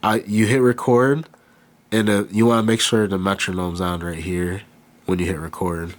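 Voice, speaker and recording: A man with a cold and a nasal voice, casually talking through a hands-on beatmaking tutorial, halting as he works. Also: sits low. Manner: relaxed, halting, thinks aloud while working. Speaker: beatmaker demonstrating gear. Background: beat playing behind.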